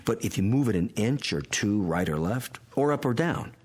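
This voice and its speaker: An actor playing an analytical scientist friend in a radio drama, explaining strange phenomena in a deliberate, instructing manner. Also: male voice, fairly low pitch, lively intonation, a little mellow, soft background music, lightly acted. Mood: explaining, intent. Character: calm analytical male physicist friend explaining a mystery. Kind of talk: modern radio drama acting.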